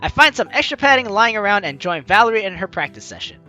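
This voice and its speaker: Theatrical, swooping, bright-voiced man gaming host. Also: high-pitched, very animated, fast, faint music behind. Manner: theatrical, jokey, exclamatory. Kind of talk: let's play, reading game text aloud with excited commentary.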